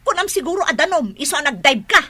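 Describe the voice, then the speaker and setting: A woman's voice: high-pitched, very animated, fast, sharp tone, full character voice. A woman acting in a radio drama as a fed-up wife scolding her drunkard husband, nagging and sarcastic. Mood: scornful, scolding.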